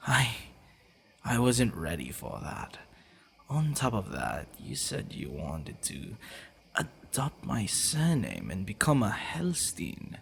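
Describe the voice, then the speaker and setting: A man playing a possessive, teasing, devoted vampire boyfriend in an intimate ASMR roleplay, speaking in a hushed, breathy way with hesitant pauses. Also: lowish voice, huge pitch swings, a little hiss or hum, heavily acted. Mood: tender, flustered.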